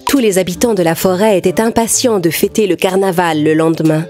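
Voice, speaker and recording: A woman reading scripted narration for an animated children's story. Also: fairly low pitch, fairly quick. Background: music.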